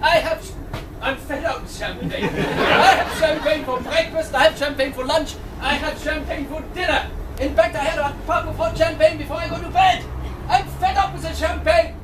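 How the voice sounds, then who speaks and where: A man's voice: very high-pitched, normal speed, a loud crowd. A man playing a spoiled prince fed up with luxury, declaiming in an amateur stage pantomime. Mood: petulant. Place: live pantomime at a tavern. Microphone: distant camera. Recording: echoey, distant camera recording, noisy audience.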